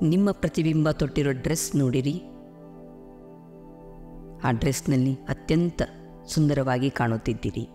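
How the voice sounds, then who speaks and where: Man, meditation guide, mid-pitched voice, soothing and unhurried, long pauses, music playing behind. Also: dark tone. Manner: slow, soothing, deliberate pauses. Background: clear background music.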